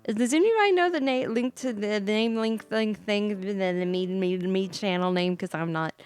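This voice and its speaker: A woman's voice: mid-range voice, moderate pace, a little mellow, clear, resonant tone. A tipsy, sleepy woman hosting a livestream, chatting casually in a rambling way. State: tipsy from wine. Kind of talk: casual chat between game read-alouds. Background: faint background music.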